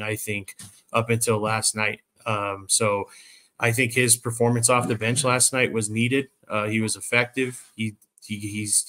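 A man in his thirties breaks down a game casually. His voice is lowish, bright and forceful, with little rise and fall, and his phrasing is hesitant and choppy. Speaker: sports podcast panelist. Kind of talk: casual sports analysis. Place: indoors at home.

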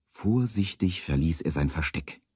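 A man narrating a children's detective radio play as a calm storyteller. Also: rumbling low, a little slow, husky, no echo, studio quality, exaggerated voice. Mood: calm, measured. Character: calm adult male storyteller of a detective tale.

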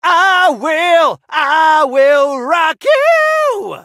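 A theatrical dragon-riding knight from a video game, full of heavy-metal swagger. The voice is pitched high and swings widely up and down. Battle cries are shouted and drawn out with brash bombast. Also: sing-song, drawn-out words, dry recording, studio quality, exaggerated voice. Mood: fierce, fired up.

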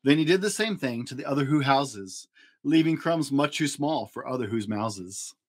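Animated man reading a storybook. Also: medium pitch, moderate pace. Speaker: children's story reader. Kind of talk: expressive storybook narration in rhyme. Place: small home room, indoors.

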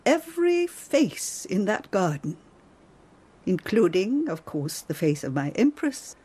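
An actress playing a dignified, devoted court lady in a radio drama, phrasing each thought with deliberate emphasis. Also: medium pitch, very animated, warm tone, breathy edge, heavily acted. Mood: reverent, proud.

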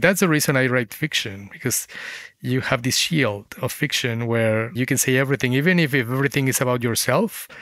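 A man's voice: medium pitch, fairly bright tone, dry recording. Man reflecting in a dry, close interview. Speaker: guest, fiction writer.

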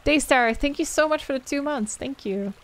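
A woman's voice: high-pitched, normal speed. A young adult woman, a game streamer wrapping up with casual, rambling chat as she thanks viewers by name and answers their questions. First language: Dutch.

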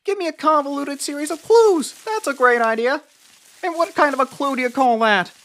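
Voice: high-pitched, animated, normal speed, a bit bright. A performer voicing a lanky, cowardly young slacker guy in a cartoon, whiny and sarcastic, peppering speech with "like". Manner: whiny, says "like" constantly. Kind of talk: cartoon voice acting.

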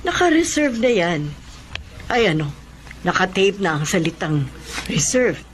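An actress playing a firm antique shop keeper in a radio drama, assertive and deliberate. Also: female voice, mid-range voice, big pitch swings, a little hiss or hum.

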